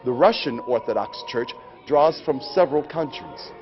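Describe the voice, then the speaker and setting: A man's voice: mid-range voice, normal speed, over loud music. A man who works as a chaplain, presenting scripted narration for a training video. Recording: dull, lo-fi training video audio.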